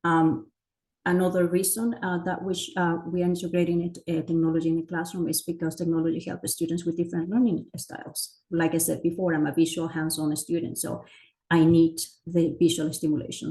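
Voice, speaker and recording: Presenter: middle-aged woman, low voice, full of hesitations and restarts. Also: dark tone, rough recording. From Chile. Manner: many fillers and self-corrections. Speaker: educator presenting a teacher training. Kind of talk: conference presentation.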